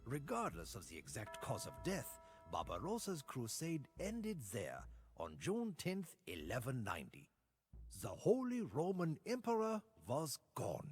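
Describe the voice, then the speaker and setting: An actor playing a grave storyteller who narrates medieval history for a game, in a theatrical, measured delivery. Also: male voice, medium pitch, huge pitch swings. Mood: solemn, dramatic. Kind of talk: scripted dramatic storytelling narration. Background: music.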